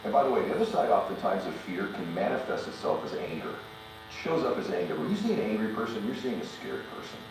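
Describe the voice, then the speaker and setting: The deep-voiced man you would hear as a motivational podcast guest, emphatically telling his life lessons, heard from across a very reverberant room. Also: fast. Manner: emphatic, repeats phrases for effect. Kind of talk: motivational interview talk with personal stories. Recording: roomy echo, distant mic, steady electric buzz and hum.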